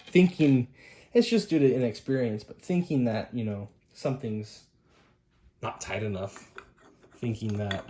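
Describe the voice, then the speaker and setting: Casual man with clear background noise. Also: fairly low pitch, dark tone. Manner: informal, muttering to himself while working. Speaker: host of a hi-fi repair channel. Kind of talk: casual hands-on repair demonstration.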